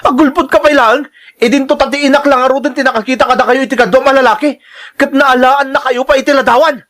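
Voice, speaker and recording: Actor as wronged young Ilocano husband, very high male voice, emotional, pleading, radio drama delivery. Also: fairly even intonation, quick pace, a bit bright. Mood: hurt, angry. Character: betrayed young husband, wounded and doubting. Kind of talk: Ilocano audio drama acting.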